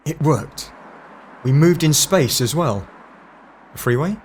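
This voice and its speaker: A man's voice: fairly high pitch, lively intonation, takes it slowly, slight background noise. A man playing a serious, analytical time agent in an audio drama, terse, authoritative and matter-of-fact.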